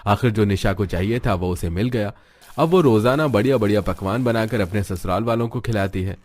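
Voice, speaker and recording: Low-voiced male story narrator. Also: a little fast. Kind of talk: scripted cartoon story narration.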